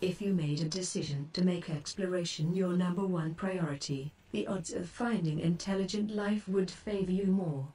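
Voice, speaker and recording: A woman's voice: on the low side, moderate pace, hardly any pauses, mellow, close to an everyday voice. A woman playing a composed, philosophical shipboard artificial intelligence in a space game, speaking formally and instructively. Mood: thoughtful, formal. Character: composed female ship artificial intelligence companion, advising and philosophical. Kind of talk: scripted game voice pack lines. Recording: distant, noisy game stream capture.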